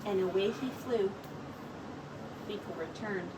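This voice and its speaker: A woman reading a children's story aloud as a teacher, with expressive narration. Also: medium pitch, measured pace, distant mic, background noise.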